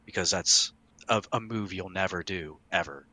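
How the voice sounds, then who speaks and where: Deep-voiced man, bright and dry. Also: normal speed, no room sound. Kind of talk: casual podcast discussion.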